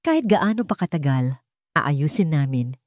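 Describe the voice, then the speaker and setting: A woman voicing a remorseful husband and gentle father in an audio drama, speaking earnestly and pleadingly, choosing words with care. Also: deep voice, big pitch swings, moderate pace, no room sound, clean studio sound, pitched below the performer's own voice. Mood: gentle, regretful.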